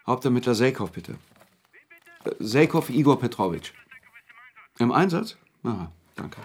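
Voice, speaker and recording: An actor playing a calm, cerebral, pensive man in a radio play, terse and deliberate, with a husky, gravelly voice. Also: mid-range voice. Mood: sober, probing. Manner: terse, deliberate, slips into slowly recited verse.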